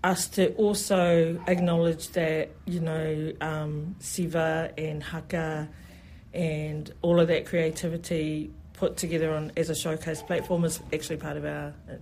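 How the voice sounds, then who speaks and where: New festival organiser, mid-pitched and enthusiastic, a rambling interview guest. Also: flat delivery, unhurried, a little mellow, slight background noise. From New Zealand. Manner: enthusiastic, rambling, many "you know" fillers. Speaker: festival event specialist. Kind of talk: radio interview.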